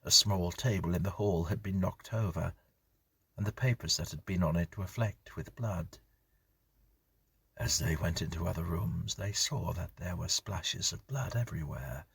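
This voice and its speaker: Male horror audiobook reader: extremely husky and breathy, dry intimate sound, measured and grave delivery. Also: low voice, fairly bright tone, no echo. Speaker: narrator, story reader. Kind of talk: scripted horror story narration.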